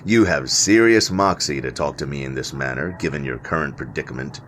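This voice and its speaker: A man's voice: a bass voice, a little fast, a bit bright, slight background noise. Man as scornful henchman with a rock-bottom voice. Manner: scornful, gruff. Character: gruff, scornful male henchman serving the lord.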